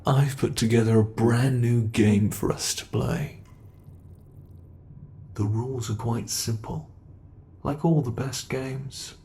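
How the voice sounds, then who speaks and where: An ancient male monster, acted by a man speaks very slowly, as a smug captor toying with prey. The delivery is theatrical and formal, teasing yet possessive, in the manner of an ASMR roleplay. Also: mid-range voice, fairly even intonation, warm tone, slight background noise. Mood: teasing, sly. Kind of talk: ASMR roleplay, scripted monologue.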